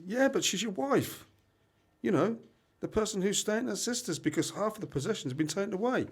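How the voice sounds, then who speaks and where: A man's voice: sits high, lively intonation, fairly quick, breathy edge, heavily acted. A man acting in an amateur radio play as a blunt, exasperated but supportive best mate.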